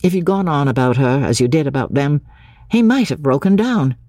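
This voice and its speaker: A reader voicing an earnest, impulsive young English man who confides in a hurried, halting way, for an audiobook. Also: medium pitch, animated, mellow, no echo, studio quality, natural voice. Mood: confiding.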